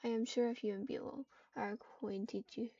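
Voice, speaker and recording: A stiffly formal, cautious cat medic from a game roleplay, played by a woman, speaks politely and a little awkwardly in a mellow voice. The recording is dull and distant. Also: on the high side, steady tone, normal speed, no echo.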